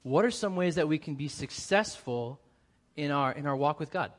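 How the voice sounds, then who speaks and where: Man, young adult, light Boston accent, preacher, energetic, jokey, slightly high voice. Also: moderate pace. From New England, US. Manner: energetic, joking with the congregation. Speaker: guest preacher. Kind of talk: lively church sermon.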